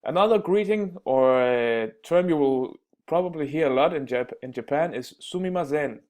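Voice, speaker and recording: A man teaching everyday phrases in a casual spoken lesson, pausing often with fillers and restarts. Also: a little high, expressive, a little mellow. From Denmark. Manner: halting, many fillers and restarts. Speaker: language teacher.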